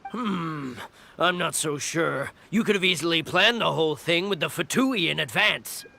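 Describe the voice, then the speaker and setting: Gravelly, skeptical officer. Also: male voice, a little high, expressive, moderate pace, sharp tone, exaggerated voice. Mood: suspicious. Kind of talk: video game character voice acting.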